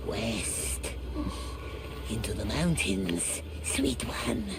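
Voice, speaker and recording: An actor playing a wretched, sly little cave creature in a video game, with a hissing, raspy, wheedling voice and childlike grammar. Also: male voice, lowish voice, extremely slow, full character voice. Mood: crooning, menacing. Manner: wheedling, odd childlike grammar, refers to himself by name. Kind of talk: video game cutscene acting. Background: loud cinematic game music.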